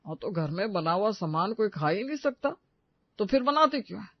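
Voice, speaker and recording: A man's voice: high-pitched, big pitch swings, normal speed, dry recording, dull audio, exaggerated voice. A man voicing a grumbling, stubborn older grandfather who is greedy for his food in a cartoon story. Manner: complaining, stubborn.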